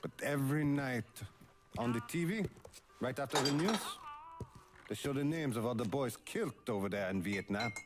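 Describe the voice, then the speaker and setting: A man acts out a middle-aged father figure in a video game drama. He sounds weary and commanding, at a medium pitch, with music underneath. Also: animated, moderate pace, natural voice. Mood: weary, worried.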